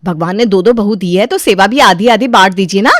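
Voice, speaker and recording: A woman's voice: mid-range voice, fairly quick, dry recording, clean studio sound, lightly acted. A woman playing a resentful, stubborn elder daughter-in-law who grumbles and argues sarcastically in a dramatized moral story. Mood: pleading, firm.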